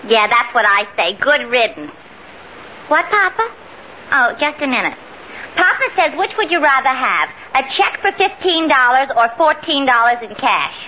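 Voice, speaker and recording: Boss's teasing daughter, sarcastic 1950s radio comedy, high voice, muffled and noisy old recording. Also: female voice, big pitch swings, moderate pace. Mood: brisk, gleeful. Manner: wisecracking, sarcastic. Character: boss's brassy daughter, a young woman, dry and teasing.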